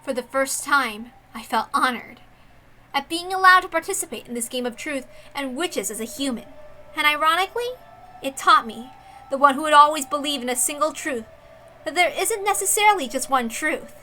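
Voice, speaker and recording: Woman acting as young female detective, very sharp high voice, proud, intense, theatrical, visual novel drama. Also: lively intonation, faint music behind, poor audio. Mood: moved, reverent. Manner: theatrical, deliberate, dramatic monologue delivery.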